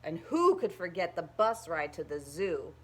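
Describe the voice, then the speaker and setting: A woman reading a children's picture book aloud in an expressive, emphatic storytelling manner. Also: fairly low pitch, a little slow.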